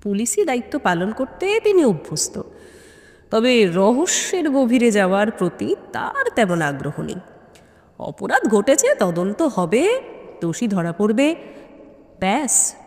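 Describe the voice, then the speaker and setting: Female narrator for mystery audio story: highish, very animated pitch, suspenseful delivery, long reverb. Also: a little slow. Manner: expressive, suspenseful.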